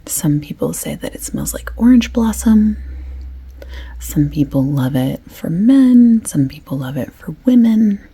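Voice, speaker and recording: A woman doing a soft-spoken ASMR shop assistant roleplay, often slipping into a breathy whisper as she explains things in chatty, unhurried detail. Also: fairly low pitch, expressive. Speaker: ASMR creator playing a perfume shop assistant. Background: light clicking and tapping of items.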